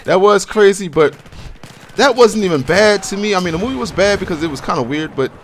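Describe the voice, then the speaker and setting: An American man with a slightly high voice gives casual, slangy commentary over a video game. He rambles informally and states his opinions bluntly. Background noise and game gunfire sit behind his voice. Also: lively intonation, a little fast. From Baltimore, USA. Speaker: gaming commentator. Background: video game gunfire and explosions.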